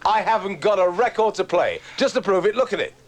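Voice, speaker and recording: A man's voice: high voice, expressive, fairly quick. A man working as a radio DJ, talking on air in a flustered, giggly, confessional way. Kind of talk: live radio chat.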